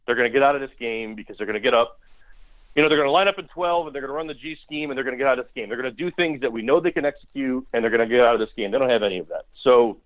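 A thin, phone-quality line carries an American man with a mid-pitched voice. He talks as a football analyst in an interview, blunt and critical, pressing his points by repeating them. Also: rapid-fire. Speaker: guest sports analyst. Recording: tinny phone-line sound.

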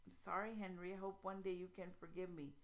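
A woman's voice: fairly low pitch, little pitch movement, brisk, creaky. A woman reading a novel aloud as a distressed young woman, pleading and emotional. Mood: apologetic, strained. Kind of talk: dramatic prologue reading of young adult novel.

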